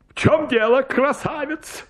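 A man's voice: extremely high pitch, measured pace. An actor playing a fussy, effusive old landowner father who rambles tearfully in a comic radio play. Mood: impatient, coaxing. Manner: gushing, tearful, rambling with pet phrases. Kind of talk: stage comedy acting in a radio play.

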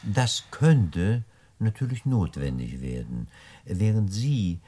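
An actor playing a smug, courteous older gentleman crook in a radio play, with unctuous, hesitant euphemisms and relish in his words. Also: fairly low pitch, big pitch swings, slow pace, breathy edge, exaggerated voice. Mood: smooth, scheming.

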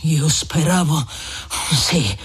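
Reader playing elderly male friar in a literary audiobook: severe, anguished, admonishing, heavily raspy delivery. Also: medium pitch, very slow, exaggerated voice. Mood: bitter reproach. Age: old. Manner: solemn, admonishing, dramatic. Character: old friar, stern, righteous, anguished and merciful.

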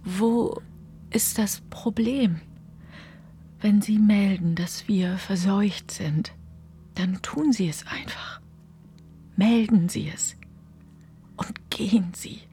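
An actress plays an anxious farmer's wife in a radio drama. She speaks slowly in a hushed, breathy voice, guarded and weary yet urgently pleading. Faint noise sits behind her. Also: medium pitch, close to an everyday voice.

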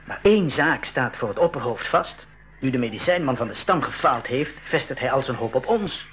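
Guy playing practical adventurer in an 80s radio play, explains stuff plainly, mid-pitched voice. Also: expressive, moderate pace, fairly bright tone, slight background noise, lightly acted. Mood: earnest, explaining. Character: William, practical go-between and adventurer among the travellers. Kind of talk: 1980s school radio drama acting.

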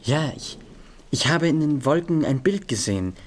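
An actor playing an earnest, troubled young man in a radio drama, with a hesitant, husky and breathy delivery. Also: on the high side, expressive, normal speed. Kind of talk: German literary radio drama acting.

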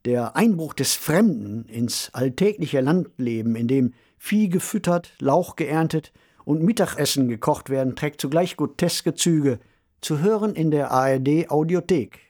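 Male podcast presenter, slightly high voice. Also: lively intonation, measured pace, warm tone. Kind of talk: scripted narration.

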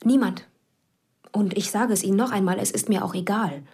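An actress playing a cool, calculating police investigator who talks tersely, with whispered inner asides. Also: female voice, mid-range voice, brisk, warm tone, breathy edge. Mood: cool, pressing. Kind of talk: radio play acting.

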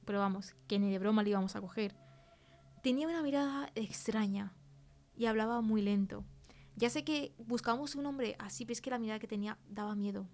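A woman's voice: mid-range voice, brisk, darkish tone. A young woman with an accent from Spain, telling her experience conversationally as a podcast guest. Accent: Spain Spanish. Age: young adult. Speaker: podcast guest, film producer. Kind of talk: conversational testimony.

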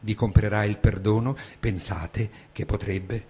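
A man's voice: fairly low pitch, steady tone, a little slow, a little mellow. A man giving a dramatic stage reading of a text. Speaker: stage reader. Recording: hum and background noise.

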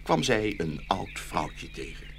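A man's voice: on the low side, big pitch swings, slow, vocal fry, noisy background. A man narrating a fairy tale as a scripted storyteller.

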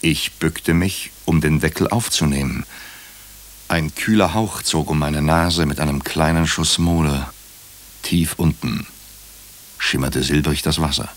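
A man playing a nervous doctor who narrates his own night-time ordeal in a suspenseful, deliberate way for a radio crime drama. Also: rumbling low. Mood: uneasy, wry.

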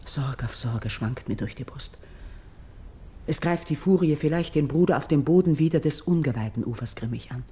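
Noble anxious priestess in an old verse radio play, solemn, declaiming, a bit husky and mellow. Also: female voice, sits low, normal speed. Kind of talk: classical German radio verse drama acting.

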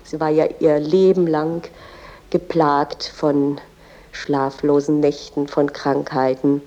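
An actress playing an earnest, reverent tour guide, explaining in a halting way with small self-corrections. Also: female voice, low-pitched, little pitch movement, mellow, lo-fi sound. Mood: earnest, explanatory. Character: female museum guide, earnest and reverent about a poet's family. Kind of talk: guided-tour commentary in a radio drama.

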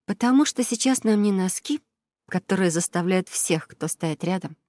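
A woman voicing a playful, thoughtful, gentle mother in a children's storybook. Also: medium pitch, animated, normal speed, dry recording, studio quality, close to an everyday voice. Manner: musing, playful. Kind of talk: children's audio storybook character voice.

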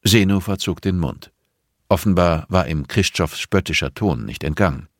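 A man's voice: low voice, moderate pace, no room sound, clean studio sound. A man reading scripted prose as the narrator of an audiobook.

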